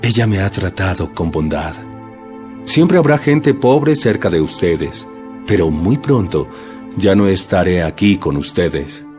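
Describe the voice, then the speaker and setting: A man voicing a calm, solemn adult male teacher in an audio Bible drama, speaking slowly and gravely. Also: low-pitched, soft background music. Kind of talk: dramatized scripture reading.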